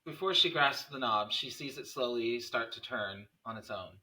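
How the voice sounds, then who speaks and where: A man's voice: medium pitch, moderate pace, pushed and bright, distant mic, low-quality recording. A man reading stage directions as scripted narration at a screenplay table read.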